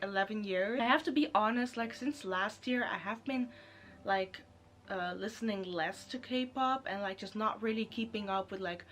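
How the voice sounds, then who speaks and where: A woman in her late twenties from the Netherlands, whose first language is Dutch, talks to her viewers in a relaxed, rambling way. Her pitch sits in the middle for a woman, and her sentences often wander through "like" and small restarts. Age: 20s. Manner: chatty, rambling, lots of "like" fillers. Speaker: YouTuber. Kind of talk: casual chat to camera.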